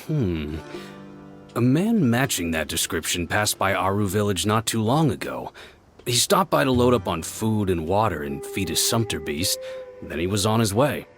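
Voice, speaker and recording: An actor playing a helpful male village guard who speaks in a friendly, unhurried way and thinks aloud. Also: on the low side, very animated, soft background music.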